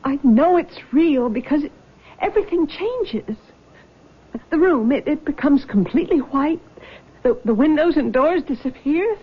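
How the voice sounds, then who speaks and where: An actress playing a frightened, guilt-ridden young nurse in a radio drama, speaking in a shaky, halting, tearful way. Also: female voice, high-pitched, animated. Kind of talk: 1970s radio drama acting. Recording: dull old radio broadcast.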